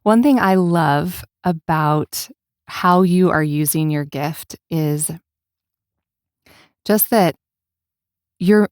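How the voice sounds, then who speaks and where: A woman's voice: fairly low pitch, very slow, pauses often, a little mellow, no room sound, studio quality. A woman hosting a casual podcast interview, speaking thoughtfully and affirmingly as she searches for her words.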